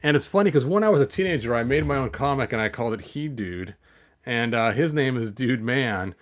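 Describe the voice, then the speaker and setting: A man's voice: mid-range voice, animated, fairly quick. A man hosting a toy collector channel, chatting in a rambling way with many fillers and restarts, then reading a picture book aloud.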